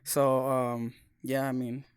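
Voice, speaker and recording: A man's voice: mid-range voice, level tone, slow pace, smooth, no echo, clean studio sound. A man from California chatting casually as a talk show co-host, slipping some Spanish into his English. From California, United States. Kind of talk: casual chat.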